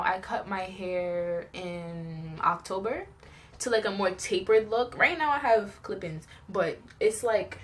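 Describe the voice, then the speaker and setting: A young woman tells personal stories in a casual, chatty vlog style, animated and giggly as she acts out little reactions. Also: on the low side, slight background noise. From New York, United States. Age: young adult. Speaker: vlogger telling personal stories.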